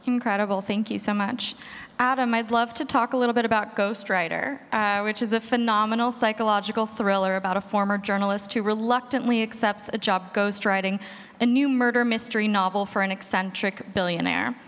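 A woman with a mid-pitched voice moderates a panel discussion. She delivers prepared introductions and questions, and her voice rings in a large hall. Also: steady tone, a little fast, fairly bright tone. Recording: long echo of a hall.

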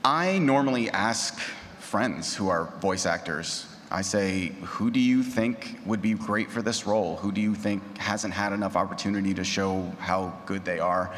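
A man's voice: deep voice, unhurried, hardly any pauses, fairly bright tone. A man talking casually and conversationally as a panelist at a convention. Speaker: panelist, audio drama creator. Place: large indoor convention panel room. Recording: reverberant noisy conference room.

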